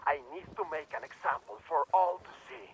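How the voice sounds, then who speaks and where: Sharp, pompous villainous warlord. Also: male voice, on the high side, lively intonation, fairly quick, a little hiss or hum, rough recording. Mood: grandiose menace. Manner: pompous, threatening. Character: menacing male mercenary warlord, grandiose and cruel. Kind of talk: dramatic villain monologue in video game.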